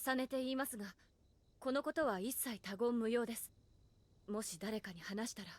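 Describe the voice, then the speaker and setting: A woman voicing a stern, formal young attendant in an anime game, speaking with measured, businesslike politeness. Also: on the high side, slight background noise, close to an everyday voice. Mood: cold, menacing. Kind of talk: Japanese anime game voice acting.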